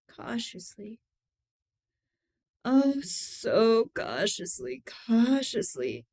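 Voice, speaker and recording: A woman reading a gothic horror tale as its mad, cunning, obsessive murderer, deliberate and theatrical, moving between hushed and emphatic delivery with a smooth voice. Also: on the high side. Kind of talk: dramatic horror story reading.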